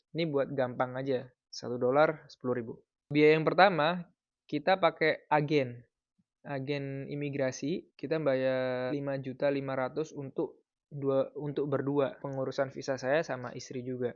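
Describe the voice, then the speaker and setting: A man in his thirties from Indonesia, giving casual advice in a vlog in a relaxed, explanatory way with frequent fillers. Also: medium pitch, no echo, lo-fi sound. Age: 30s. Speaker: vlogger.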